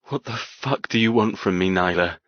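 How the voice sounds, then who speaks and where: A man's voice: on the low side, moderate pace, dry recording, highs cut off, natural voice. An actor playing a cold, cruel, arrogant young man in an audiobook, sardonic and controlled in manner. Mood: angry. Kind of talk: audiobook character dialogue.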